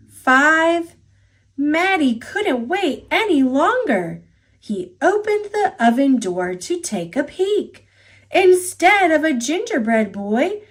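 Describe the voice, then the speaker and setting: Slow, lively, high-voiced American woman storyteller. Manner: expressive, deliberate. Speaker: storybook reader. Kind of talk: read-aloud children's storytelling.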